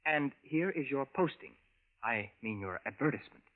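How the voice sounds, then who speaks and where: An actor playing an oddly formal, polite stranger in an old radio drama, speaking with stilted precision. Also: male voice, mid-range voice, expressive, normal speed, lightly acted. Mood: precise, insistent. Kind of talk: 1950s radio drama acting. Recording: thin, phone-like vintage radio recording.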